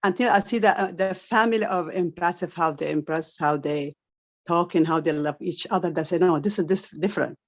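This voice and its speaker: A middle-aged woman from Saudi Arabia, a native Arabic speaker, giving an unscripted testimonial in halting, repetitive English. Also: lowish voice. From Somali family, grew up in Saudi Arabia. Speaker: guest member giving a testimonial. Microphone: video call. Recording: dull, lo-fi online call.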